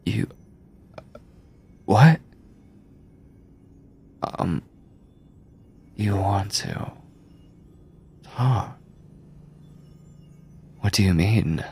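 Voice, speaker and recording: A man playing an obsessive, controlling mad scientist in an ASMR horror roleplay, speaking deliberately with a breathy, clinical yet doting manner, often near a whisper. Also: on the low side, expressive, darkish tone, heavily acted. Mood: puzzled, suspicious. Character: obsessive yandere mad scientist, soft-spoken, controlling male captor.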